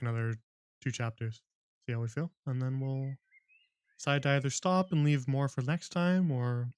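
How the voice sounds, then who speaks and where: A man's voice: mid-range voice, clear, resonant tone, no echo. A man hosting a livestream book club, chatting with viewers and laughing often before reading a novel aloud.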